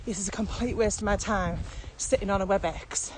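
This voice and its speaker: A woman's voice: mid-range voice, fairly quick. A woman chatting casually as a podcast co-host. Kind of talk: casual conversational podcast chat. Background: steady ambient noise.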